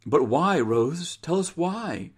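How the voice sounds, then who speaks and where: A man voicing a gentle, concerned mother who coaxes in formal old-fashioned language. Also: on the high side, expressive, slow, breathy edge, voice pushed up, exaggerated voice. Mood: concerned, coaxing. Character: gentle, coaxing, concerned middle-aged mother. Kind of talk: dramatized reading of an old sentimental tale.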